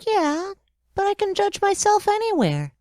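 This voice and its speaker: Woman as a little boy prince, polite, earnest, curious, very animated, dry close sound, storybook drama. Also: extremely high pitch, measured pace, dry recording, heavily acted. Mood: politely firm. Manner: earnest, childlike, questioning delivery.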